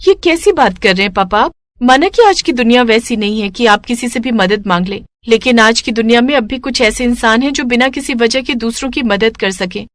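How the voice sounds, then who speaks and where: Resourceful young daughter, voiced by a woman, persuasive and earnest, higher-than-average pitch, dry studio-like sound. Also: brisk, no echo, close to an everyday voice. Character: resourceful, hopeful young adult daughter of a poor family.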